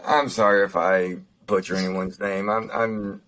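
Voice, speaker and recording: A young man with a slightly raspy voice, co-hosting a casual podcast, chatting and reading out news with lots of pauses and fillers. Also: sits low, level tone, a bit bright. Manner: halting, lots of fillers and restarts. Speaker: podcast co-host.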